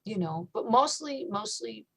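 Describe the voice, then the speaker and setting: Woman, metal vocalist, low voice, relaxed interview talk, lots of "you know" and restarts. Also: unhurried, breathy edge. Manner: relaxed, thoughtful, with frequent fillers and restarts. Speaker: metal singer and guest. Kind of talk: casual interview chat.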